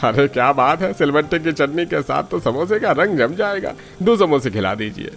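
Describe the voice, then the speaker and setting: A man's voice: a little high, expressive, normal speed, creaky, a bit bright. A man voicing an exclaiming, colloquial customer at a street food stall in a Hindi moral-story cartoon. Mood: pleased, curious. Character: haggling, complaining male street-food customer who warms up to good food. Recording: music behind the voice.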